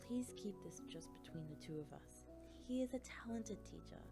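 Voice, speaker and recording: A woman playing a stern, disapproving academy professor in an audiobook drama, breathy and creaky, speaking in a measured, grave way. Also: mid-range voice, animated, music in the background. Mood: cautioning.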